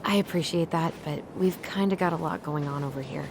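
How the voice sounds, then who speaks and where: A woman's voice: low-pitched, fairly quick, noisy background. An actress playing a guarded young American woman in a video game, with a hesitant, conversational way of talking. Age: young adult. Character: guarded young woman, loyal to her family, easily exasperated. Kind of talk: naturalistic video game dialogue.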